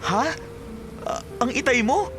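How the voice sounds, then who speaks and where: Gentle, dutiful young Filipino played by an actor. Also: high-pitched, very animated, a little slow, slight background noise, heavily acted. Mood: grateful, surprised. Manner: polite, consoling. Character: dutiful gentle grown child of poor farmer, puzzled. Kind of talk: Filipino radio drama acting.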